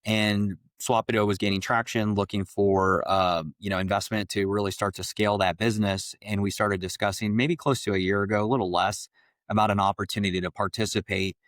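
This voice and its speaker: A man's voice: on the low side, flat delivery, a little fast, fairly bright tone, dry recording, clean studio sound. A man hosting a podcast as an investor, explaining at length in a fluent way with some fillers. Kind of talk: casual interview talk.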